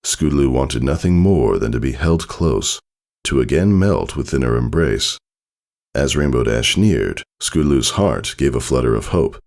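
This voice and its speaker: Very deep, gravelly male story narrator. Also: animated, moderate pace. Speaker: fan fiction narrator. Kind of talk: dramatic scripted story narration. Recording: soft music behind the voice.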